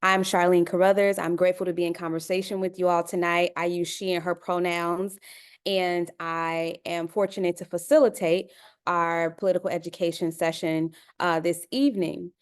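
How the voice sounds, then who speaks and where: A woman's voice: lowish voice, steady tone, moderate pace, smooth. A woman from Chicago facilitating an online panel discussion, talking in a conversational way and calling her listeners y'all. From Chicago, United States. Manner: conversational, addresses listeners as y'all, many uh fillers. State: dry mouth.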